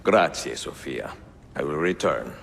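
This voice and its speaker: Deep, gravelly older Italian assassin. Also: male voice, lively intonation, a bit bright, in a large hall, slight background noise, exaggerated voice. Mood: warm, tender. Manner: measured, courteous. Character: older Italian master assassin, courteous, reflective, gentle. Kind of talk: video game cutscene acting.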